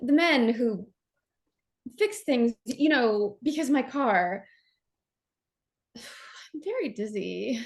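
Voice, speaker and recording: Actress as fragile young woman, very high voice, animated intonation, nervous repetitions, self-deprecating, stage drama. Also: creaky, exaggerated voice. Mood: dazed, uneasy. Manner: halting, repeats herself, nervous. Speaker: actor. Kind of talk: staged reading of a stage play.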